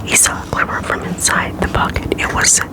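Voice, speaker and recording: An ASMR creator reading a chapter book aloud in a soft unvoiced whisper, with casual asides. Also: brisk. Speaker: ASMR reader.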